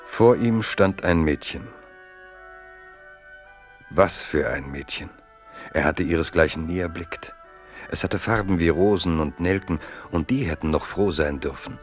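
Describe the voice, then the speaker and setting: Very deep-voiced male narrator. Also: soft background music. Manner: measured, evocative storytelling. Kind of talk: scripted literary narration in a radio drama.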